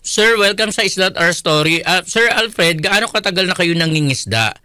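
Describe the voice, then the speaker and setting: Clear, bright, higher-voiced Filipino man. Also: lively intonation, moderate pace, dry recording, clean studio sound. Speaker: radio co-host and interviewer. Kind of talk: hosted radio interview.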